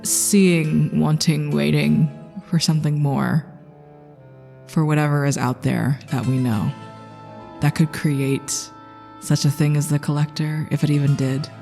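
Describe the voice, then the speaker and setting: A woman improvising dramatic narration for her tabletop character, intense and halting, dwelling on single words. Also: low voice, fairly even intonation, creaky, mellow. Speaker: player in a tabletop game podcast. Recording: long reverb under music.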